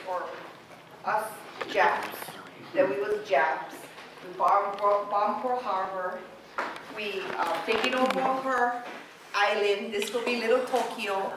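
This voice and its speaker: Echoey, distant middle-aged Hawaiian local woman. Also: fairly high pitch. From Hawaii, United States. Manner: unapologetic, conversational, peppered with "right?" and "okay?". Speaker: speaker giving a talk. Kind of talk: informal personal storytelling to an audience. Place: university classroom. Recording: very echoey room, distant mic, clear noise.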